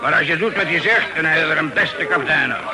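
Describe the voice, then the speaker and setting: An actor playing a convivial, coarse pirate sailor in a radio play, talking in a rough, chummy, cajoling way. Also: male voice, a little high, quick pace, over music, natural voice. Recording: very noisy old radio recording.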